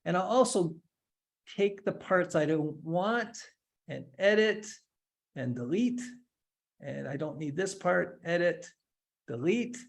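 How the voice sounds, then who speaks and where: Male instructor in his forties, casual tutorial delivery, step-by-step with light humor, slightly high voice. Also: animated, slow pace, gravelly, long pauses. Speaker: instructor giving a software demo. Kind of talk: screen-share tutorial, casual explanation.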